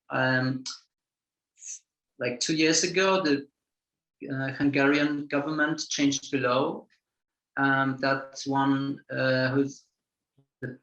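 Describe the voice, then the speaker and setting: A film director answers questions in English, searching for words and circling back through repeated phrases. His voice sits at a middling pitch and carries a roomy echo. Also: fairly bright tone. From Poland. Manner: halting, many repeated words and restarts. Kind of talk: festival Q&A answer.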